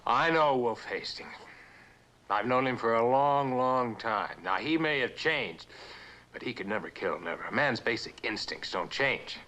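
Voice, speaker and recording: An actor playing a stubborn, suspicious professor in mid-century suspense drama, with an insistent, sardonic manner. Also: male voice, medium pitch, expressive, unhurried, pushed and bright. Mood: firm, earnest. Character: stubborn professor, idealistic and suspicious, confronting betrayal.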